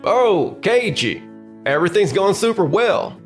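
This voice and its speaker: Manga dub casting: male actor as proud, friendly builder, slightly high and very animated, light music underneath. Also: unhurried. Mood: upbeat. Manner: enthusiastic, friendly. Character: upbeat, proud male construction team worker. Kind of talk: anime-style manga dub acting. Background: faint background music.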